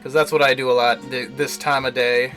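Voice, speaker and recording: A man's voice: medium pitch, flat delivery, fairly quick, fairly bright tone. A man livestreaming casual commentary on a visual novel, thinking aloud in a rambling, jokey way. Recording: music behind the voice. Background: game music.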